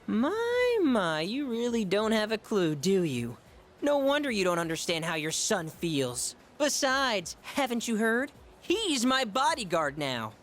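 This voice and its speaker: A woman's voice: mid-range voice, measured pace, soft background music. A woman voicing a bratty, insecure young cult believer in a video game, haughty, volatile and theatrical. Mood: smug taunting. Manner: taunting, theatrical, excitable. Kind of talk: English video game voice acting.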